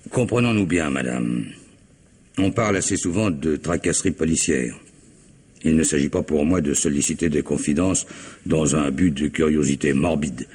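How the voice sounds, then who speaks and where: An actor playing a stern, probing police commissioner, questioning in a formal, measured way in an old radio drama. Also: male voice, very deep voice, a little mellow, slightly rough. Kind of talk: 1960s French radio drama acting.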